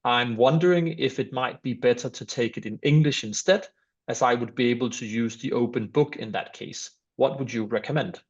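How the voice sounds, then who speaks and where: A man's voice: mid-range voice, moderate pace, breathy edge, lo-fi sound. A man hosting a webinar, politely reading out audience questions to a guest over a video call. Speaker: webinar host. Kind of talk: moderating a webinar Q&A.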